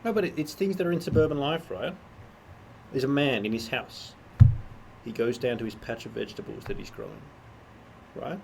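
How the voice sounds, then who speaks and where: A man's voice: mid-range voice, moderate pace, gravelly, long pauses, a little hiss or hum, poor audio. A young man in casual podcast chat, teasing and arguing in a dry way. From Australia. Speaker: co-host.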